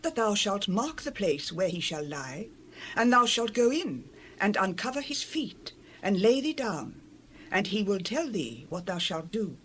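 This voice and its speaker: An actress playing an older, guiding mother-in-law in a dramatized Bible reading, instructive and deliberate. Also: mid-range voice, sing-song, fairly bright tone, a little hiss or hum. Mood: instructing.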